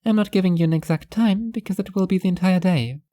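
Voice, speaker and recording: Actress as teasing, blunt wife in a dystopian audio story. Dark, low tone, close dry sound. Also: female voice, fast, no echo, lightly acted. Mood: blunt, fond. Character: blunt, morbidly fond workaholic wife in dystopian city.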